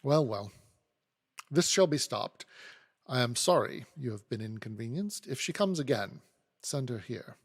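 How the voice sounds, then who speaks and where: A man's voice: mid-range voice, animated, unhurried, slightly rough, in a large hall. A man playing a dry, domineering older lawyer who speaks brusquely and with condescension. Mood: brisk assurance.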